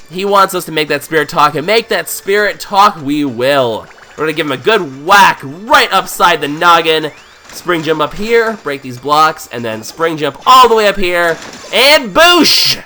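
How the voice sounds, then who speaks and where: A man with a cold, hoarse and nasal, giving casual, joking commentary as he plays a game. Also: a little high, pushed and bright. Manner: playful, jokey, thinks aloud. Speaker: let's play host.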